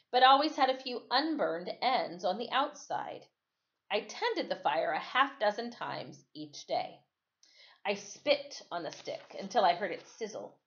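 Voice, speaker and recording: A woman reading a story aloud in an expressive, dramatic storytelling way, like a teacher. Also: mid-range voice. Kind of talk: read-aloud storytelling with casual asides. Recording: dull, lo-fi sound.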